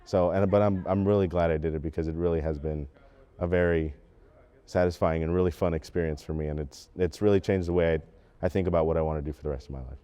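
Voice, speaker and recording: A young man with a very low voice talks casually about his own experience. The tone is dark and soft-edged, with a clean, resonant sound, in a reflective interview style. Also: steady tone, fast talker, clean tone. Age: teens or young adult. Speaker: high school drama cast member.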